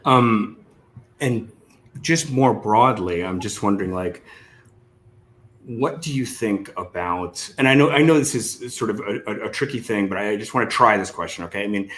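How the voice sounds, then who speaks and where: A man's voice: lowish voice, fairly bright tone, a little hiss or hum. A man hosting a casual live interview over an online call, feeling his way through questions in a hesitant, rambling manner. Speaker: interview host.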